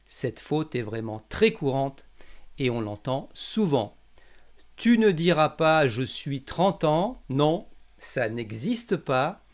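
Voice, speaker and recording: A man's voice: fairly high pitch, big pitch swings, slow. A man from France with a French accent, teaching a language lesson in a didactic way and carefully enunciating example phrases.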